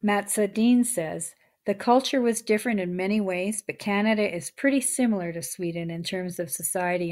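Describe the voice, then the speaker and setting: A woman reads her own nonfiction book aloud in a steady, measured delivery. Her voice sits at an ordinary middle pitch for a woman.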